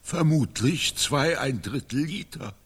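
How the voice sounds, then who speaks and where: Slow, husky, battered knight. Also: male voice, fairly high pitch, expressive, fairly bright tone, heavily acted. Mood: dazed. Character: battered, dazed knight with pedantic learning. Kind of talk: German radio drama acting.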